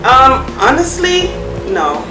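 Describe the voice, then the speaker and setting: A woman's voice: sits high, sing-song, takes it slowly. A woman from Jamaica with a Jamaican accent, speaking as a guest in a hesitant, filler-heavy way. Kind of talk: casual conversation. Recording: echoey room, distant mic, music.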